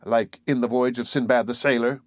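Man playing a calm, learned naturalist professor. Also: mid-range voice, no room sound, clean studio sound, natural voice. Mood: gently teasing. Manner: measured, didactic. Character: learned male professor naturalist, calm, patient, gently amused. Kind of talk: audiobook character voice.

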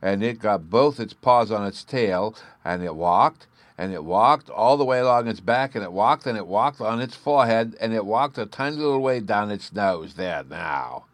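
A man's voice: lowish voice, animated, moderate pace, few pauses, dry recording, voice pushed up, close to an everyday voice. A man voicing an eager, stubborn little fairy boy with a babyish lisp, in an audiobook. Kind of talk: audiobook character voice.

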